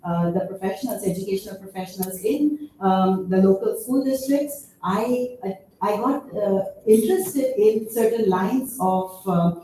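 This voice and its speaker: A woman researcher in her fifties giving a conference presentation, halting with many fillers, a loud voice. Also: medium pitch, slight background noise. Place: conference room. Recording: echoey hall, voice far from mic.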